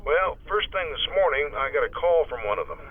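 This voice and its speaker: An actor playing an earnest, formal city councilman in a radio drama, measured, heard through a telephone filter. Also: fairly high pitch, big pitch swings. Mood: concerned. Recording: tinny narrow-band phone line.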